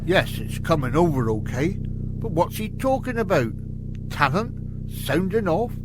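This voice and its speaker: A man's voice: high voice, big pitch swings, a little slow, background noise. A man playing a puzzled, questioning operations monitor from the future in a sci-fi audio drama.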